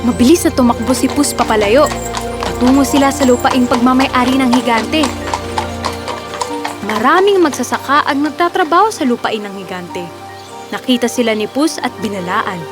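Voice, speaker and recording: A woman's voice: sits high, expressive, normal speed. A woman reading scripted fairy tale narration for children as the storyteller. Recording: music under the voice. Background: music.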